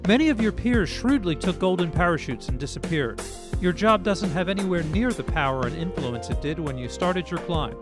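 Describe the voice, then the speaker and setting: A man's voice: sits high, lively intonation, moderate pace, music in the background. A man narrating a scripted video essay as its host, reading in the style of political media commentary.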